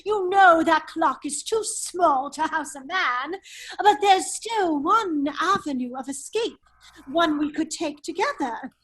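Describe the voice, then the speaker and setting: A foppish nobleman adventurer, played by a woman in a radio drama table read. The voice is very high and unhurried. The manner is teasing and sly, with little stammers and chuckles. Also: lively intonation, a bit bright, heavily acted, rough recording. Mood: playful menace. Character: foppish, laughing English nobleman, a cunning hero in disguise. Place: remote virtual table read.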